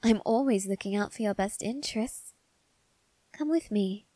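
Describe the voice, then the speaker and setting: A woman's voice: mid-range voice, moderate pace, no room sound, lightly acted. A woman voicing a suave, doting young male vampire aristocrat in an ASMR roleplay, speaking to the listener in a genteel, coaxing, faintly sinister way. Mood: soothing, persuasive. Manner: genteel, coaxing, softly condescending.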